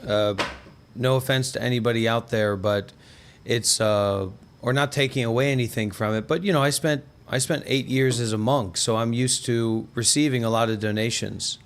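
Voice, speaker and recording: A man in his thirties speaks as a livestream host at a middling pitch. He talks casually and discursively, circling around his points with frequent "you know" asides. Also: steady tone, normal speed. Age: 30s. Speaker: host, former monk and content creator. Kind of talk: casual conversational livestream chat.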